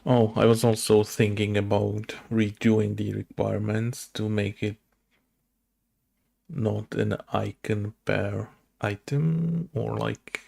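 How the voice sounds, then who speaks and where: A man with a low, very creaky voice thinks aloud as he codes. He speaks slowly and haltingly, muttering half-formed questions and decisions to himself in a casual, unscripted way. Typing and mouse clicks sit behind him. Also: steady tone, mellow. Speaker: game developer making a tutorial video. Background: keyboard typing and clicking.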